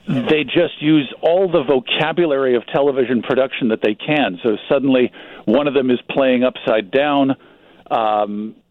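An American man giving conversational expert commentary as a radio guest, thoughtful and halting, often repeating words as he goes. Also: medium pitch, no room sound. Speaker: radio guest, magazine publisher. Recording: dull band-limited radio line.

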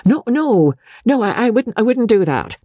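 A reader acts out a timid young man, a storybook doctor out of his depth. The voice sits high and a little rough, hesitant and stammering as it flusters. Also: big pitch swings, moderate pace, mellow, no room sound. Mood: flustered.

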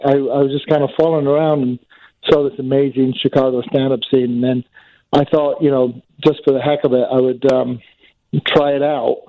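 A comedian guest who grew up in Northern Ireland and England, rambling in a self-deprecating way with plenty of fillers. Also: male voice, mid-range voice, level tone, fairly quick, no room sound. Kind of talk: casual phone interview. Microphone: phone. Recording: tinny phone line.

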